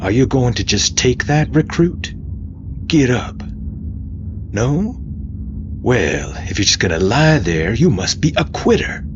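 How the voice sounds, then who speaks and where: A man voicing a relentless, taunting military drill sergeant in a sci-fi audio story, loud and full of contempt. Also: mid-range voice, sing-song, slow pace, pauses often, muffled recording, noisy background, pitched above the performer's own voice. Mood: barking, scornful. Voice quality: loud, forceful shouting. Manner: taunting, browbeating.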